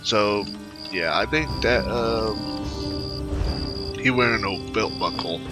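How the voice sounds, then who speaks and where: A man's voice: on the low side, takes it slowly, over loud music. A man giving casual, rambling commentary as he plays a video game, often repeating himself.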